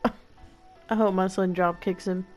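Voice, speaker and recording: A woman's voice: mid-range voice, fairly even intonation, slow pace, soft background music. A woman streamer giving casual, sarcastic gameplay commentary in a slangy, wry manner.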